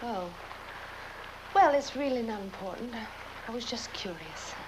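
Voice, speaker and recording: An actress playing a sweetly scheming young bride, demure and measured, in a film drama. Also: female voice, a little high, expressive, background noise. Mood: coyly curious.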